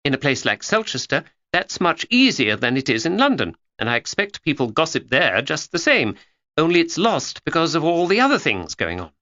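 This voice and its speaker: A man's voice: fairly high pitch, wildly swooping pitch, moderate pace, pushed and bright, no room sound, muffled recording, pitched above the performer's own voice, heavily acted. A man voicing a chattering, know-it-all schoolgirl who lectures and teases. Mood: lecturing. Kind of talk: audiobook character voice.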